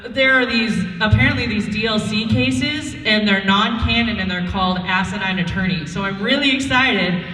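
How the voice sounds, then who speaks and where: Bright, excitable woman in an echoey hall. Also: medium pitch, normal speed, far from the mic, soft background music. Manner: excitable, mock-argumentative, sweary. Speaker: convention panelist. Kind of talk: casual comic storytelling and banter. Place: large convention panel room.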